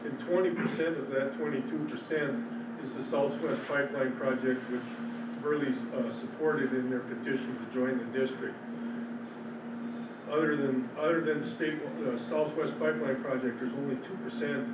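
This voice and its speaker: A man's voice: mid-range voice, steady tone, normal speed. A man with an Upper Midwestern American accent giving a plain presentation at a public hearing, with some fillers. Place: large public meeting room. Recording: roomy hall, far from mic, loud noise.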